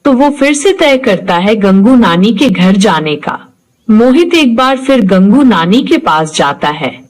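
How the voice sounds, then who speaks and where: A woman's voice: mid-range voice, very animated, unhurried, low-quality recording. A woman narrator reading a scripted horror story in a storytelling style.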